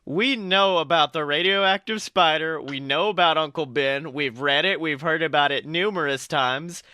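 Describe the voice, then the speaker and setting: A man's voice: on the high side, normal speed, bright, forceful tone, clean tone, no echo, studio quality. A man co-hosting a casual pop culture podcast, talking emphatically with strong opinions and free swearing. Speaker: podcast co-host. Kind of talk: casual podcast banter about films and comics.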